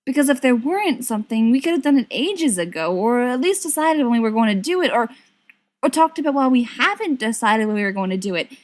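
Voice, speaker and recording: A woman voicing an earnest, hurt young fiancée in an audiobook, halting and then insistent. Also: a little high, lively intonation, pitched above the performer's own voice, close to an everyday voice. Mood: earnest, frustrated.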